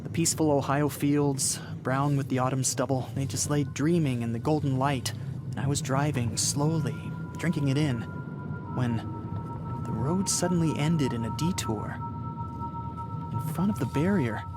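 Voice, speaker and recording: A man's voice: mid-range voice, normal speed, over loud music, close to an everyday voice. An actor playing a haunted, increasingly frantic driver in a radio play, brooding as he narrates and stammering when agitated. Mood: brooding, uneasy. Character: haunted, increasingly frantic man driver recounting his cross-country journey. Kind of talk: dramatic first-person radio play narration and acting. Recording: loud background noise with vehicle ambience.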